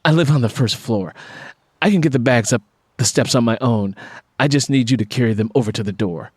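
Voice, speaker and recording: A man voicing a proud, sharp-tongued city woman in an audiobook, assertive and sassy. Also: mid-range voice, fairly quick, slightly rough, dry recording, studio quality, lightly acted. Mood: matter-of-fact.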